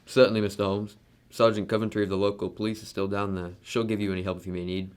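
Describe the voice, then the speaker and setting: A man's voice: lowish voice, level tone, quick pace, smooth, lightly acted. A man playing a proud, defensive wealthy husband in an amateur radio drama, speaking bluntly. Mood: grave, explaining.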